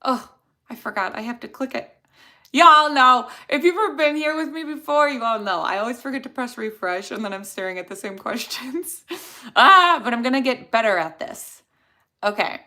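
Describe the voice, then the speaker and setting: Bright-voiced chatty woman with a Chicago accent. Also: on the high side, lively intonation, moderate pace. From Chicago area. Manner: chatty, rambling, answers questions from chat. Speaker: dental hygienist, host. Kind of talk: livestream Q&A, answering chat.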